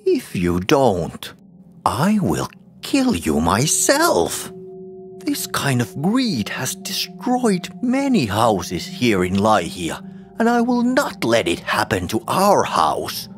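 Man putting on a high, furious farmer's wife. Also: huge pitch swings, slow, faint music behind. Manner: shouting, threatening. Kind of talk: audio drama storytelling.

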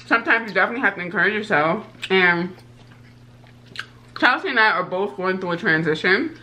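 A woman's voice: mid-range voice, expressive, a little fast, pushed and bright, poor audio. A woman in her thirties hosting a casual eating show, chatting while she eats at a table. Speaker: host. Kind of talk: casual mukbang chat. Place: indoor studio set at a table.

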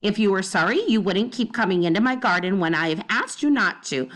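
A woman voicing a stern, fussy older neighbor lady with a high voice and a prim, scolding manner, read from a children's storybook. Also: animated, fairly quick, fairly bright tone, close to an everyday voice. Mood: cold.

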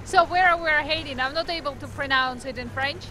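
Female sailing vlogger in her thirties, higher-pitched voice, casual to-camera talk with clear music underneath. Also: brisk, poor audio. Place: aboard a sailing yacht at sea, on deck and in cabin. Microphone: action camera at arm's length.

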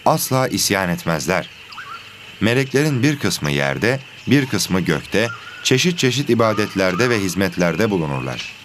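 Low-voiced cartoon teacher with lively delivery. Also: male voice, normal speed, noisy background. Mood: instructive. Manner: didactic, clearly explaining. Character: patient instructive male religion teacher in a children's cartoon. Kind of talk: scripted educational cartoon dialogue.

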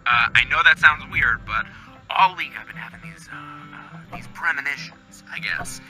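A man's voice: a little high, normal speed, pushed and bright, soft background music, low-quality recording. A man playing a nervous, stammering caller leaving a message on an answering machine. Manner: hesitant, rambling, stammering. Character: nervous young man hearing premonitions.

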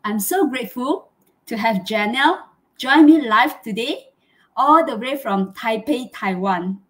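A woman's voice: a little high, expressive, measured pace. Effusive Malaysian woman hosting. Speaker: host. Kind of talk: live chat interview hosting. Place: small home room, indoors. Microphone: video call.

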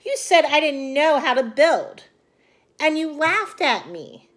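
A woman's voice: high-pitched, sing-song, slow pace, a bit bright, voice pushed up, exaggerated voice. A woman voicing a determined, self-reliant little girl in a storybook, with emphatic delivery. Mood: indignant.

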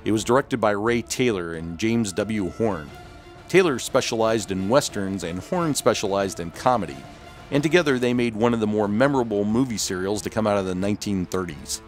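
A man's voice: lowish voice, normal speed, soft background music. A man hosting and narrating a scripted documentary-style video.

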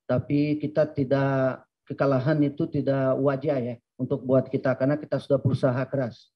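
A man's voice: mid-range voice, little pitch movement, a little fast, slightly rough. A man interpreting a coach's answers at a press conference, speaking plainly with casual fillers at a table mic. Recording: dull lo-fi stream audio.

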